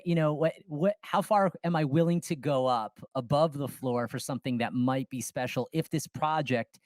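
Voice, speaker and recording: A man's voice: mid-range voice, dry recording. A man hosting a podcast, chatting casually and enthusiastically in a conversational interview style. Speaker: podcast host.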